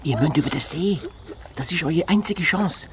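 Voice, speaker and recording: A woman's voice: sits low, normal speed, crowd noise, close to an everyday voice. A woman playing a kindly, protective male hedgehog detective's assistant, earnest and coaxing, in a children's radio play. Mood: urgent.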